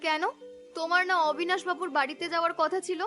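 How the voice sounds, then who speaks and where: A practical cartoon village wife, played by a woman, full of questions. She talks quickly and naggingly in a bright voice, with music playing behind her. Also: extremely high pitch, steady tone. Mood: questioning. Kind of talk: cartoon voice acting.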